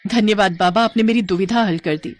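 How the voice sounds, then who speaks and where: A woman voicing a gentle, troubled young daughter-in-law in a moral story, speaking earnestly and respectfully. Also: mid-range voice, fairly quick, natural voice. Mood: relieved.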